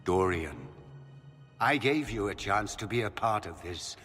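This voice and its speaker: An actor playing a proud, scheming middle-aged magister in a video game, theatrical and pompous with silky menace. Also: male voice, fairly low pitch, expressive, unhurried, fairly bright tone. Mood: fervent. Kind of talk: dramatic video game voice acting. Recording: long hall reverb, music underneath.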